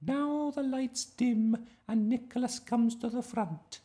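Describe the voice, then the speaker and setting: A performer voicing a flustered, gentle woman schoolteacher in a storybook, hesitant and fussy. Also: medium pitch, slow pace, pitched above the performer's own voice. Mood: fussing, directing.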